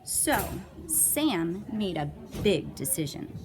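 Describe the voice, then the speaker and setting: A woman's voice: lowish voice, sing-song, slow pace, background noise. A woman reading a children's picture book aloud with playful, dramatic emphasis and little asides. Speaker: read-aloud storyteller.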